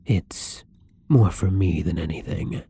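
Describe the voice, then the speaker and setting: A very husky, breathy vampire acted by a man, newly turned and tender, in an intimate ASMR roleplay. The delivery is slow and halting, with gravelly fry at the edges and soft drops into whispering. The temper is gentle, careful and unsure. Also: sits low, no echo, exaggerated voice. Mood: nervous, gentle.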